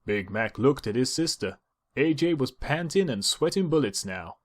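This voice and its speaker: Guy reading a story out loud, storybook narrator style, pitch moves around a lot. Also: animated, normal speed.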